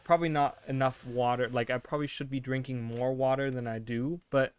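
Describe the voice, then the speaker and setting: A man's voice: mid-range voice, fairly even intonation, brisk, smooth. Rambling young man streaming a game. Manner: rambling, full of "like" and "you know"; thinking aloud, self-correcting. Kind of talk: casual chat while gaming.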